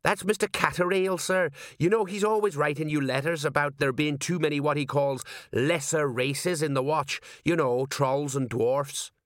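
A man's voice: fairly high pitch, fairly even intonation, moderate pace, hardly any pauses, no echo, clean studio sound, voice pushed up, close to an everyday voice. A man voicing an eager, deferential police sergeant in an audiobook, obliging and chatty. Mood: anxious, reporting.

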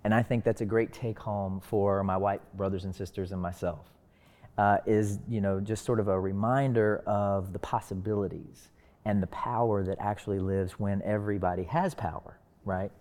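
A man with a fairly low voice speaks as a panelist. He explains in an earnest, deliberate way, leaning on key words. His voice rings with hall-like reverberation. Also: steady tone, darkish tone. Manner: deliberate, emphatic phrasing. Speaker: discussion panelist.

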